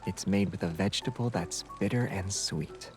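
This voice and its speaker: An actor playing a young man who is the teasing love interest in a video game. His voice is low and calm, with a dry, deadpan delivery of scripted lines, and music plays clearly underneath. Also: normal speed, lightly acted. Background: prominent game music.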